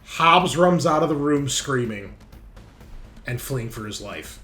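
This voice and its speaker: A man's voice: mid-range voice, lively intonation, takes it slowly. A man running a tabletop game as game master, casually narrating and thinking aloud with informal, sweary phrasing. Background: game background music.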